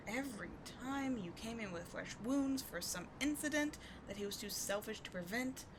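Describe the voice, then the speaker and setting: A woman's voice: a little high, fairly bright tone. A woman playing a bitter, wounded villain who secretly cares, sardonic and exasperated, in a dramatic ASMR audio roleplay. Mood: bitter, reproachful. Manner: sardonic, exasperated, emotionally intense. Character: bitter, wounded female villain secretly caring for rival sidekick. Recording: clearly audible background noise.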